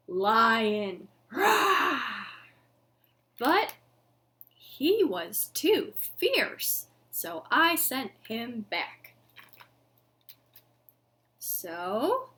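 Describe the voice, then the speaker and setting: A woman in her thirties hosting an interactive storytime for young children, with expressive, sing-song delivery and exaggerated reactions. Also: mid-range voice. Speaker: library storytime host. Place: living room at home.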